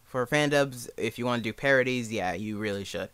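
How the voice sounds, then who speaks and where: A man's voice: mid-range voice, brisk. A young man giving a casual, informal tutorial as an amateur, talking in a self-deprecating way. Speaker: amateur fan dub creator giving a tutorial.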